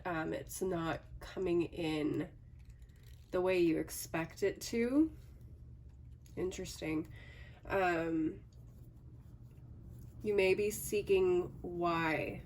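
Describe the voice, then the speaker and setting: Woman tarot reader, slightly hoarse, casual and musing, mic a bit distant with faint hiss. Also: on the low side, darkish tone. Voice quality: slightly raspy. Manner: thinking aloud with frequent "okay" and "um". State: hoarse from a cold.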